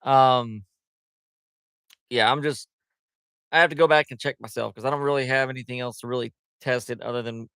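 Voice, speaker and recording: Male livestream host, mid-pitched, loose and chatty with plenty of fillers; clean, resonant tone. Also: steady tone, normal speed, long pauses, a bit bright, smooth, no echo. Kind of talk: casual livestream chat. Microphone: headset.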